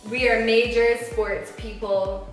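A woman's voice: fairly high pitch, fairly even intonation, slow, faint music behind. Young woman, Bajan accent, bubbly, giggly, echoey room, distant mic. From Barbados. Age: young adult. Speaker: co-host. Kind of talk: casual chat. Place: indoor living room, on a couch. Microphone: far camera mic.

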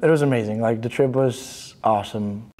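A young man from Bulgaria speaks in a mellow, warm voice, pausing with fillers as he answers questions in a studio. His pitch sits in a middling range. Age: young adult. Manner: relaxed, halting with fillers and restarts. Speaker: student athlete interviewee. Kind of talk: casual interview answers. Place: studio in front of a banner.

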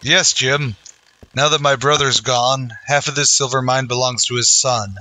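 A man plays a resolute lawman hero in an old-time western radio show. His voice is bright and forceful at a middling pitch, and he speaks with solemn earnestness. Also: steady tone, moderate pace, no echo, low-quality recording.

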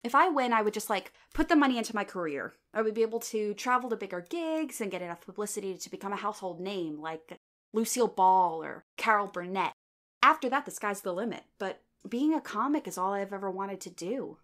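A woman's voice: medium pitch, expressive, brisk, a bit bright, close to an everyday voice. A woman playing an earnest, hopeful young rookie comedian in an audio drama. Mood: earnest, anxious.

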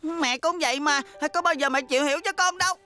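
A woman's voice: sits high, quick pace, noisy background, natural voice. A woman voicing a sensitive, earnest young schoolboy in a cartoon dub, with a childlike delivery. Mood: fed up. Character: sensitive young schoolboy, weary of extra classes.

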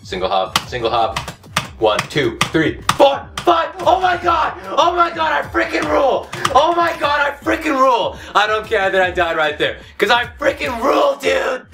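A man in his thirties doing comedic game commentary at home, cocky and self-hyping, going hoarse as he swings from low mutters to shouted outbursts. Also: sing-song, normal speed, noisy background. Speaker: comedy gaming host. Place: home room, indoors. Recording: somewhat distant mic, loud game music behind.

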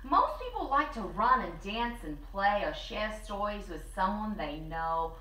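A woman co-hosting a story time, reading a picture book aloud in an expressive, deliberate way with drawn-out words. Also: mid-range voice. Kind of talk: animated children's story read-aloud. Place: small living room with fireplace and bookshelves. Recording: roomy, slightly distant camera mic.

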